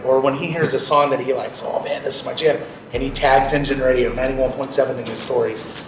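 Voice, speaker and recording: A man's voice: mid-range voice, fairly even intonation, fairly quick, slight background noise. A man speaking as a guest radio programmer on a panel, giving advice to artists and repeating key points for emphasis. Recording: loud music behind, roomy sound.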